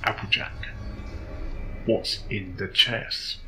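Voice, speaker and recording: A man's voice: on the low side, steady tone, takes it slowly, music in the background. A man voicing a stern, commanding pony detective in a dramatic fan fiction audio story. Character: stern male pony detective interrogating suspects, commanding, deliberate.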